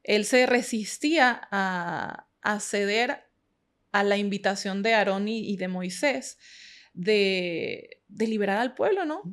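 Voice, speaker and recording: A woman's voice: mid-range voice, slow pace. A woman from Ecuador who is a guest in a scripture study discussion, speaking in a friendly, hesitant way with many fillers.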